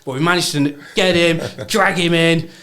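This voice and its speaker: A man's voice: a little high, fairly even intonation, normal speed, fairly bright tone. A man hosting a podcast, chatting casually and upbeat, laughing often. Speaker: podcast host. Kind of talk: casual interview chat.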